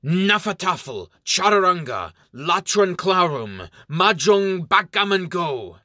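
A man's voice: animated, moderate pace, a bit bright, no echo, clean studio sound. A man voicing a skinny, boastful male elf magician in a raised, theatrical voice. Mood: chanting spells. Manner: high, eager, theatrical.

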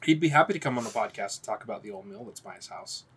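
A man's voice: lowish voice, expressive, rapid-fire, a bit bright, a little hiss or hum. A young man chatting casually on a podcast, blunt and offhandedly swearing. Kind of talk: casual chat.

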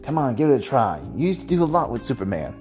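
A host talks alone in a mellow, relaxed tone at a middling pitch. The delivery is casual and rambling, hesitant and circling back over phrases. Music plays faintly behind the voice. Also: quick pace. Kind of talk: casual rambling solo commentary.

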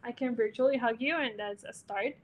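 A woman's voice: a little high, fairly quick, background noise. A woman from America, a podcast guest giving earnest, encouraging advice and sometimes searching for words. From Latin America. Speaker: podcast guest, interior designer. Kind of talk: casual chat, encouraging advice.

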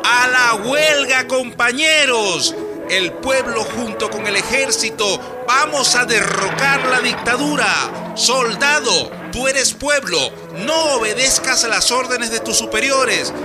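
A fervent clandestine announcer in a radio drama. The voice is very high for a man and declamatory, exhorting listeners to rise up. Music plays behind it. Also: takes it slowly. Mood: urgent, rousing. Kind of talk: urgent political radio broadcast acting.

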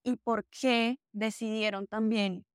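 Woman host, casual interview, encouraging, slightly high pitch, crisp voice, dry close mic. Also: fairly even intonation, slow, no echo.

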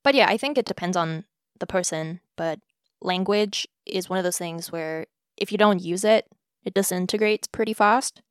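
Smooth-voiced young woman, measured and reflective. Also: fairly low pitch, no echo, clean studio sound. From South Africa. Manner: measured, reflective, with soft fillers. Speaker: podcast host answering interview questions. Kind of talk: casual conversation between sisters.

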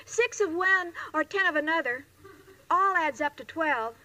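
A woman's voice: very high-pitched, lively intonation, unhurried. A woman playing a sweet, naive, scatterbrained young woman in a 1940s radio comedy, muddled but earnest, on a noisy old recording. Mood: naive consoling.

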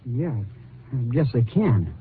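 A man's voice: medium pitch, very animated, takes it slowly, narrow, tinny audio. A man acting as a nervous, evasive young suspect who answers hesitantly and defensively in a 1950s radio crime drama. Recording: thin, noisy old radio broadcast recording.

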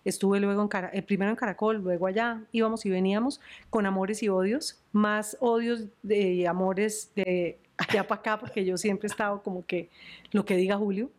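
A woman's voice: mid-range voice, moderate pace. Colombian woman telling stories, laughing often. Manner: animated, laughs often, storytelling. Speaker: journalist and news presenter. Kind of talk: podcast interview.

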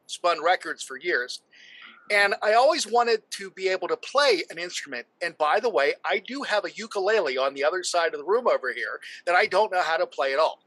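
Upbeat man hosting online radio, bright high animated voice, dry sound. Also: no room sound, rough recording. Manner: upbeat, chatty, laughs easily. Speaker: radio show host.

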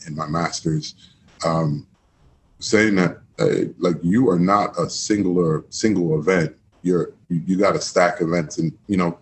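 A man with a very low voice talks in a loose, rambling way, circling back and repeating words as he gathers his thoughts. Behind him is a faint hum of room ambience. He is a former football coach from Miami, speaking as a guest on a podcast. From Miami, Florida. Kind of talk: casual interview chat.

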